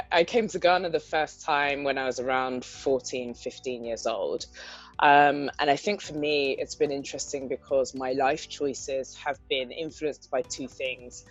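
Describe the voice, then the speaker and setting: A woman's voice: a bass voice, measured pace. Thoughtful English woman with a London-area accent. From England. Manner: thoughtful, articulate, frequent "um" fillers, light laughs. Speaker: guest entrepreneur. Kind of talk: conversational interview answers. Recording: dull lo-fi audio with faint guitar music.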